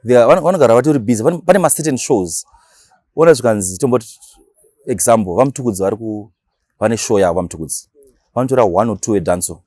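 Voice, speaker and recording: A Shona-speaking man with a Zimbabwean accent, talking casually as an interview guest and explaining things in animated, slangy language with acted-out examples. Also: mid-range voice, quick pace, no echo, clean studio sound. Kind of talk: casual interview talk mixing Shona and English.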